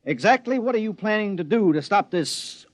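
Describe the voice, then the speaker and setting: Earnest indignant fellow, dry sound. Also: male voice, high voice, lively intonation, normal speed, dry recording. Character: earnest, indignant friend confronting trouble. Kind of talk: 1950s radio drama acting.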